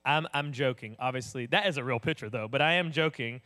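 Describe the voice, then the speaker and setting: A young man, an associate pastor with a booming voice, telling stories in a casual, animated sermon. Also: a little fast. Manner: animated storyteller, acts out voices.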